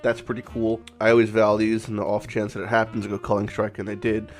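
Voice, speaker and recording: A man with a raspy voice, hoarse from a cold, hosting a casual game commentary vlog in a rambling, off-the-cuff way with some swearing. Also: lowish voice, monotone, fast, soft background music. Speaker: gaming channel host.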